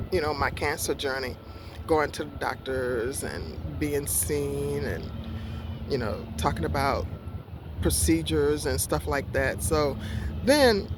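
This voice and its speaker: A woman in her sixties recounts her experience in a slow, unhurried way, stopping often to search for words and correct herself. She speaks outdoors in a park, and wind and street noise are clearly heard behind her voice. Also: low voice. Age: 60s. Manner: halting and rambling, many fillers, corrects herself. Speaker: vlogger. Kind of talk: casual personal storytelling.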